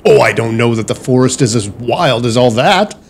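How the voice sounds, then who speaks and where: A man playing an easygoing, wry Victorian gentleman and father in a period audio drama. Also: mid-range voice, lively intonation, moderate pace, creaky, slight background noise. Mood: breezy, reassuring.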